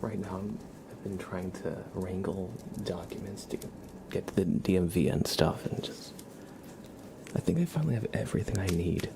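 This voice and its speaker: A man hosting an ASMR livestream, chatting casually in a soft, whispery murmur and gently repeating his phrases. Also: sits low, moderate pace, gravelly, noisy background.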